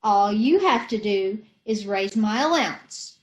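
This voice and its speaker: Woman as scatterbrained wife, syrupy and slow; 1930s radio comedy. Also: medium pitch, expressive, lightly acted, rough recording. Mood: sweetly dotty. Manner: slow, dotty, syrupy sweet. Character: scatterbrained sweet-talking wife Jane. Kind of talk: amateur old-time radio play reading.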